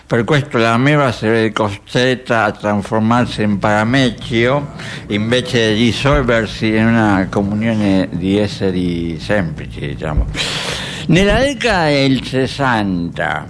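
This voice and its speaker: An actor playing a solemnly absurd, absent-minded philosopher musing haltingly on the radio. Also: male voice, on the low side, expressive, a little hiss or hum. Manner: halting, musing, seeks agreement. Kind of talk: mock-philosophical radio monologue.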